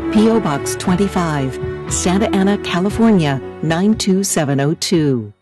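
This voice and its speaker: Low-voiced woman reading a donation appeal. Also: animated. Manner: measured, spelled-out address reading. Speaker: announcer. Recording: voice-over with clear music underneath.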